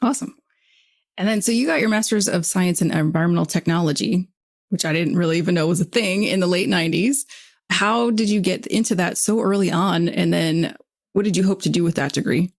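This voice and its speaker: A woman's voice: fairly low pitch, brisk. A woman co-hosting a podcast, asking questions and chatting in a friendly, upbeat way. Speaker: podcast co-host. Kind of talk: casual chat and interviewing.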